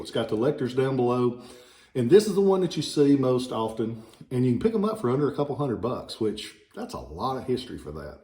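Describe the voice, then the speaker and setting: A man with a light Southern accent talks casually about old ballots, drifting into folksy asides and jokes. His voice sits at an ordinary middle pitch. The recording is roomy, with a clear echo off the walls. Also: a little mellow. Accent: slight Southern American. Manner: rambling, folksy, joking asides. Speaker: relic dealer showing ballots. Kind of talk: casual informational video. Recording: echoey room.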